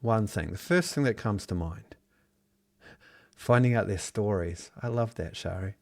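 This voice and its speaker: A man's voice: lowish voice, measured pace. A man from New Zealand leading a live online workshop, upbeat and encouraging as he reads out and answers chat replies, heard over a video call. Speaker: online business trainer. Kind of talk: live Zoom workshop teaching.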